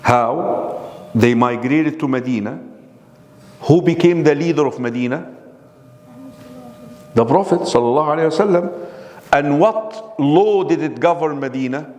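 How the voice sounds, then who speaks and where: A man's voice: a little high, measured pace, long pauses, darkish tone. A man giving a classroom lecture on religion, constantly quizzing his audience with questions. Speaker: Islamic lecturer. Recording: long reverb of a hall.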